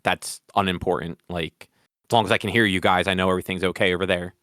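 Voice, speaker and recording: Teasing podcast host, lowish voice. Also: male voice, fairly even intonation, fast, fairly bright tone, no echo, studio quality. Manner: teasing, opinionated. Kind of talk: casual chat.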